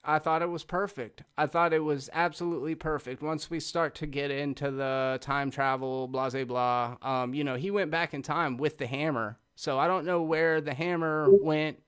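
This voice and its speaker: A man's voice: on the high side, fairly even intonation, moderate pace, hardly any pauses, fairly bright tone, clear, resonant tone. A man co-hosting a film review in casual, informal talk with an emphatic, sweary way of speaking. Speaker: podcast co-host.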